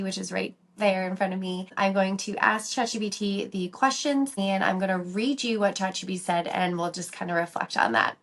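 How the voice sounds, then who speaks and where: A woman hosting her own podcast, her voice a bit raspy and lower than usual from a cold, talking in a chatty, rambling way. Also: a bit bright. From South Dakota. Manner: chatty, rambling, friendly explainer. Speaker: podcast host, dental hygienist. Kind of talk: casual solo podcast talk. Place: workplace, on a lunch break.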